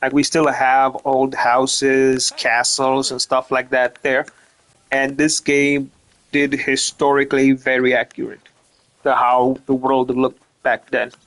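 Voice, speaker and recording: A streamer talks through his gameplay in a flat, even voice. He rambles, restarts his sentences over and over, and swears without a second thought. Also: medium pitch, slow, rough recording. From Romania. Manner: rambling, stumbles over repeated words, swears casually. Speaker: gaming streamer. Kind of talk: casual gameplay commentary.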